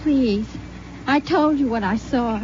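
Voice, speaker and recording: An actress playing a shaken, distraught young nursemaid in a 1950s radio drama. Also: female voice, high-pitched, slow. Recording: muffled old radio recording, faint noise.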